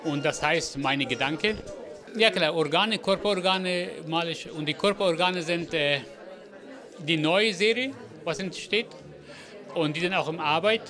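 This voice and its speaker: A man born in Afghanistan, an artist, talking about his paintings into a handheld mic at a gallery opening, halting with many fillers. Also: on the high side. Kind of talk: interview statement. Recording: crowded gallery with music. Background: music and crowd chatter.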